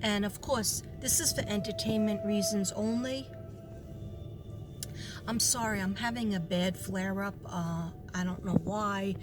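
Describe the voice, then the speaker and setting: A hoarse woman talking casually to her viewers in a rambling way, full of fillers and restarts. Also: mid-range voice, fairly even intonation, a little slow, over music. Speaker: psychic reader.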